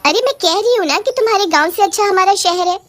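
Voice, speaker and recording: A woman's voice: very high voice, lively intonation, fast, fairly bright tone, pitched above the performer's own voice. A woman voicing a snobbish city woman turned witch, haughty, dramatic and easily disgusted, in a cartoon story. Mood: haughty, scornful.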